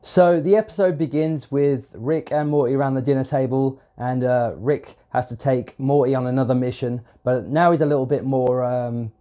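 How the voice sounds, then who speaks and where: A man's voice: medium pitch, fairly even intonation, moderate pace, few pauses, warm tone. A man with a cold and a stuffed-up nose, casually reviewing a show episode in a rambling way, with lots of fillers and swearing. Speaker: video reviewer.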